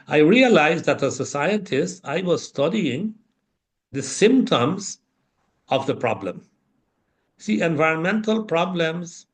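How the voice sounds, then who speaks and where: Measured older man from Guyana. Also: medium pitch. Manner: measured, thoughtful, with many pauses and fillers. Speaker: interview guest, professor. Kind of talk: reflective interview talk.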